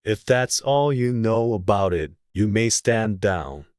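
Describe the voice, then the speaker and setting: A man's voice: lowish voice, animated, slow, a little mellow, no room sound, studio quality. A man voicing a curt, bossy, pompous king in a storybook reading. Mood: stern. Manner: pompous, stern.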